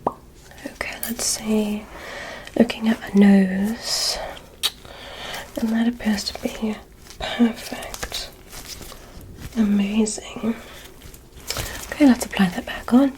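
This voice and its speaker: A woman doing a whispered ASMR roleplay as a polite, curious alien examiner, speaking in a gentle, breathy, soothing way. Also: medium pitch, fairly even intonation, low-quality recording. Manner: slow, gentle, soothing bedside delivery. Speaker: ASMR performer.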